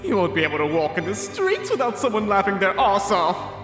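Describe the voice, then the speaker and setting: A woman's voice: medium pitch, big pitch swings, a little fast, soft background music, natural voice. A woman voicing a gloating, mocking noble rival with a taunting, theatrical delivery in a comedic fan dub. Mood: smug.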